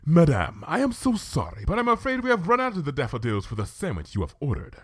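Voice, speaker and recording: Apologetic cartoon waiter pony, male reader, formal and servile, raised pitch, dry close recording. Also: wildly swooping pitch, quick pace, husky, no echo, full character voice. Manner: formal, deferential.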